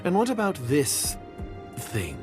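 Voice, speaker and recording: A man's voice: fairly high pitch, wildly swooping pitch, takes it slowly, full character voice. An actor playing a suspicious, scornful male plotter in a video game, guarded and formal in manner. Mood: disdainful. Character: suspicious, scornful male member of a secret plot. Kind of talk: scripted video game voice acting. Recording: music under the voice.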